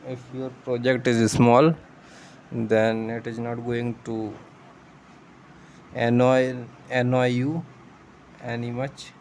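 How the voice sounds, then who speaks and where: A man's voice: mid-range voice, level tone, slow pace, pauses often, a little mellow, slight background noise. A man who is not a native English speaker, patiently explaining a coding tutorial step by step and thinking aloud as he goes. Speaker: programming tutor. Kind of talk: screen-share coding tutorial.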